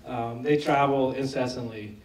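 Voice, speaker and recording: Hoarse man speaking in an echoey hall. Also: medium pitch, steady tone, moderate pace, a little mellow, recorded from a distance, slight background noise. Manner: conversational, with frequent restarts. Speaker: film director at a Q&A. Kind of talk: post-screening Q&A answers. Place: large screening hall. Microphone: lost microphone, speaking unamplified.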